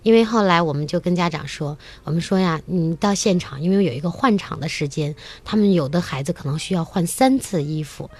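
A woman's voice: on the low side, fairly quick, hardly any pauses. A woman, a children's musical theatre teacher, chatting enthusiastically as a talk-show guest and acting out bits of conversation as she tells stories. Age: adult, mother of a young child. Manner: enthusiastic, animated storytelling with acted-out quotes.

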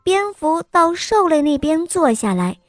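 A young woman hosting a children's bedtime story show, narrating in a sweet, sing-song, gently coaxing manner. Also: sits high, sing-song, measured pace, dry recording, soft background music.

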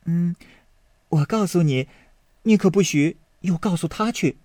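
A man's voice: high voice, a little slow, a little mellow, no echo, pitched above the performer's own voice. A man voicing a playful sixteen-year-old maid girl in a lilting, coy voice, in a dry, close recording.

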